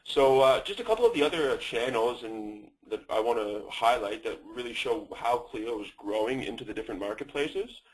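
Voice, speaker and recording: A man's voice: mid-range voice, animated, normal speed, hardly any pauses, husky, low-quality recording. A man with a Canadian accent presenting a company in a webinar, talking with many fillers and restarts.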